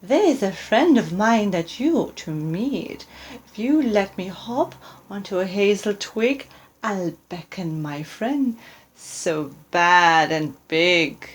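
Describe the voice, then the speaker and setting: A woman voicing a small, clever male storybook mouse who speaks in a measured, persuasive way. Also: medium pitch, expressive, slightly rough. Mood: coaxing.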